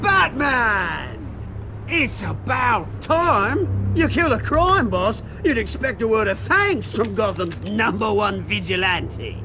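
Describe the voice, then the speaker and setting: A man's voice: high-pitched, big pitch swings, slow, noisy background, heavily acted. An actor playing a smug male crime boss in a video game cutscene, mocking and theatrical as he taunts. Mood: taunting.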